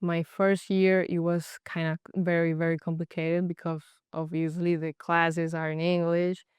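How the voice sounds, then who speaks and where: A young woman from Colombia with a Colombian Spanish accent, a native Spanish speaker speaking halting English with frequent restarts in a casual interview. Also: fairly low pitch, level tone, a little mellow, clean tone, dry recording, studio quality. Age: young adult. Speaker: guest, college goalkeeper.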